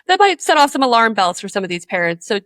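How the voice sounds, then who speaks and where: A woman's voice: on the high side, big pitch swings, fast, fairly bright tone, no room sound, studio quality. A woman hosting a podcast interview, encouraging her guest with frequent affirmations. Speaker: interviewer host.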